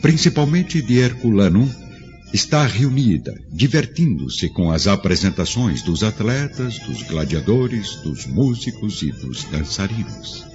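Narrador brasileiro, voz grave e enfática, estilo radionovela, gravação lo-fi com música. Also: male voice, fairly low pitch, sing-song, measured pace, darkish tone. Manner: dramatic, emphatic. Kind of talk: dramatic radio drama narration. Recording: dull lo-fi sound with music. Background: music.

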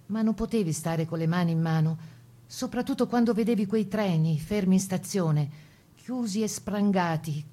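An actress plays a woman partisan recalling wartime in a radio drama. Her voice is fairly low and soft-edged, dark and relaxed in tone. She tells it earnestly and steadily, with sober resolve. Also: natural voice. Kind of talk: dramatised testimony reading for radio.

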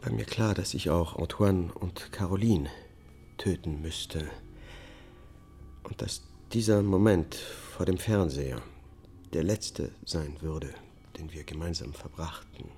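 Low-voiced, haunted accused killer. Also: male voice, takes it slowly, dark tone, a little hiss or hum. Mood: haunted, tender. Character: accused man confessing to killing his family, subdued and haunted. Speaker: actor playing the defendant. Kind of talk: radio drama courtroom testimony.

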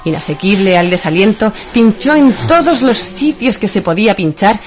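A woman's voice: medium pitch, animated, normal speed. A woman with an accent from Spain narrating a scripted radio story. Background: music.

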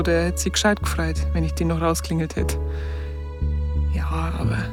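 An actress playing a wistful, warm-hearted woman with a Bavarian accent, telling her memories colloquially in a radio drama monologue. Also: fairly low pitch. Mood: reminiscing. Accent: southern German, Bavarian. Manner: colloquial, reminiscing, halting. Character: Christel looking back, wistful, warm-hearted woman storyteller.

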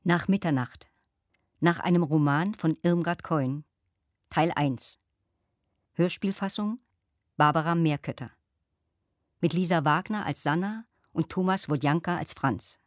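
Low-voiced woman announcer, dry sound. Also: no echo. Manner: measured, neutral reading. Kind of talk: scripted radio drama credits announcement.

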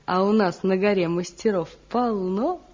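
An actress as a gentle young wife of a village craftsman in a vintage radio play. Her voice is dark, soft and breathy, with a wistful tenderness. The old recording is dull and muffled. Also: mid-range voice, unhurried, lightly acted. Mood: earnest. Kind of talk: stage-trained radio drama acting.

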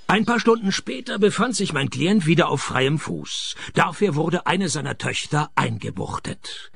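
A man acting a wry, deadpan private detective in a radio play. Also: fairly high pitch, measured pace, creaky, slightly rough, no room sound, full character voice. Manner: dry, deadpan. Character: wry, deadpan private detective narrating his own cases.

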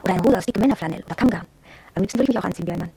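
Casting: opinionated young shopgirl, very husky and mellow, confiding radio play monologue. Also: lowish voice, very fast, no room sound, exaggerated voice. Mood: confiding, defiant. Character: headstrong, proud young shop apprentice girl, cool and yearning. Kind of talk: radio drama first-person monologue.

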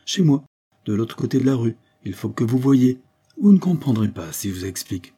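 A man voicing a blustering, husky fat chemist. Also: medium pitch, big pitch swings, moderate pace, warm tone, pitched above the performer's own voice. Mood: agitated, insistent. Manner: colloquial, jovial, blustering. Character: fat, blustering, evasive middle-aged chemist with false joviality. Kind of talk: audiobook character dialogue.

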